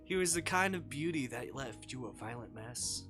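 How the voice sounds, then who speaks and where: Live read-aloud narrator, mid-range voice, informal and a bit stumbly, music clearly underneath. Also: medium pitch, animated, normal speed. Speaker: streamer reading a visual novel aloud.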